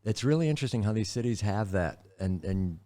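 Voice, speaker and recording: A man's voice: on the low side, lively intonation, fairly quick, mellow. A man from Wisconsin hosting a podcast, curious and asking long strings of questions in casual chat. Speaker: podcast host.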